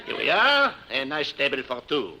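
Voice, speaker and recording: An actor playing an eager, fussy French restaurant waiter with a French accent in 1950s radio comedy. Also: male voice, medium pitch, wildly swooping pitch, moderate pace, over a noisy crowd. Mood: obliging, apologetic. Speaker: comic supporting actor. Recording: noisy old radio recording.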